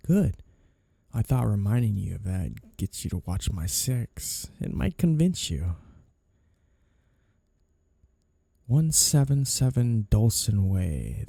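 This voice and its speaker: A man playing a flirty, coolly threatening mafia boss in an intimate ASMR roleplay, with a low gravelly voice. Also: warm tone, dry recording, studio quality, exaggerated voice. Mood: threatening, smug. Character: flirty, menacing male mafia boss, smooth and sly.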